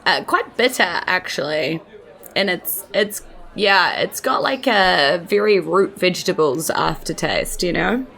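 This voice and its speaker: A woman's voice: fairly low pitch, animated, measured pace, vocal fry, a bit bright. A woman casually narrating as a dungeon master for a tabletop game. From New Zealand.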